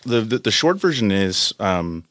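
A man's voice: on the low side, big pitch swings, a little slow, fairly bright tone, no echo. A man in his late thirties with an American accent, talking casually as an interview guest in a studio. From United States, California. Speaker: interview guest, company country head.

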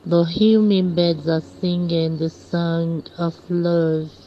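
A woman reading her own poem in a measured, deliberate recital style. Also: lowish voice, little pitch movement. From Nigeria. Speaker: poet, radio listener reading own poem. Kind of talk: slow poetry recital. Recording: dull lo-fi recording with music.

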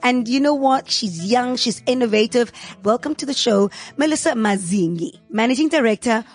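A woman's voice: on the high side, expressive, normal speed, soft background music. A woman hosting an interview, upbeat and encouraging, full of praise for her guest. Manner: effusive, encouraging. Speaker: interview host.

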